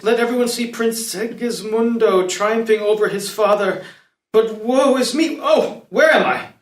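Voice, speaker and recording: A man's voice: sits high, a little slow. A man playing a brooding young captive prince in a play reading, declaiming poetic lines with dazed, vengeful intensity. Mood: anguished confusion. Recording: roomy, echoey live room.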